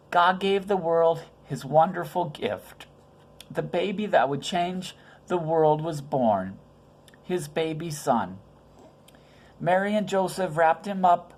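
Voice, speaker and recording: A woman's voice: lowish voice, darkish tone. A woman reading a children's Bible story aloud, with informal, conversational asides in simple words. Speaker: storybook reader.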